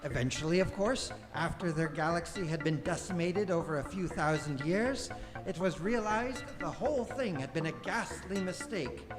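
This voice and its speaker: A man acts as the dryly wry narrator of a live radio play. His slightly high voice is measured and dramatic. Music plays clearly behind him in a reverberant hall. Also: natural voice.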